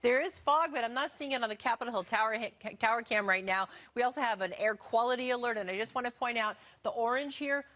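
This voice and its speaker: Conversational woman weather forecaster, higher voice. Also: fast talker, few pauses, fairly bright tone. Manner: conversational, informal.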